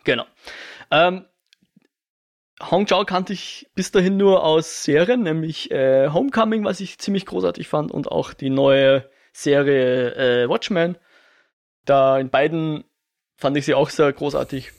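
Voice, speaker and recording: A man's voice: fairly high pitch, a little slow. Hesitant man chatting about films. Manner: hesitant, restarts and self-corrections. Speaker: film podcast co-host. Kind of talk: casual film discussion.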